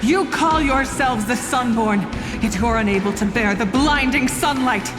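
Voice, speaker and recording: An actress playing a proud, righteous young warrior in a video game, declaiming in a commanding, formal way. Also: female voice, a little high, unhurried, natural voice. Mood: scornful, righteous. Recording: echoey hall, music behind.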